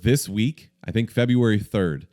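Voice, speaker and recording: A young man hosting a casual movie podcast, brisk and a bit sweary, running the segments. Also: lowish voice, dark tone. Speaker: podcast host.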